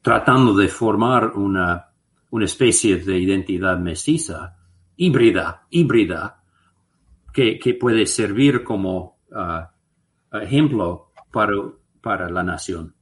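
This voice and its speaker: Slow halting man. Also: lowish voice, animated. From United States. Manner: slow and halting, many restarts and filler sounds. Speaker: guest researcher. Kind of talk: interview answers, anecdotal.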